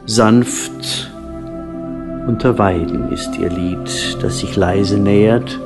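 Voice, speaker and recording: A man narrates a solemn, poetic story in a fairly low voice. He takes his time, letting phrases stretch out and soften into a breathy hush. New-age instrumental music plays clearly behind him. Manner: hushed, breathy, drawn-out phrasing, trailing into whispers.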